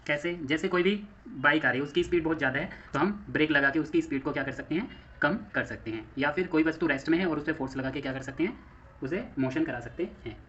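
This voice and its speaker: A man's voice: a little high, quick pace, a bit bright, dull audio. A man with an Indian accent teaching like a coaching instructor, explaining step by step, repeating phrases and posing questions to himself. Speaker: physics teacher for exam coaching. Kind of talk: classroom lecture mixing Hindi and English terms.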